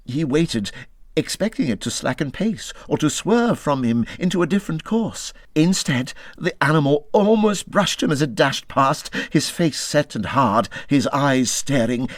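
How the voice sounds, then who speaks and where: A man reading a classic children's animal story as scripted narration, in a dramatic, expressive style that moves between hushed and urgent. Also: fairly high pitch, unhurried, no room sound, clean studio sound. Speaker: audiobook reader.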